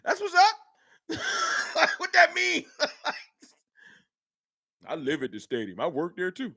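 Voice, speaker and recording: Heated man, high lively voice. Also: unhurried, vocal fry, breathy edge, no room sound, studio quality. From Dallas, Texas. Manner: animated, argumentative, heated ranting. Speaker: sports fan livestream host. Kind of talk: casual fan rant to live chat.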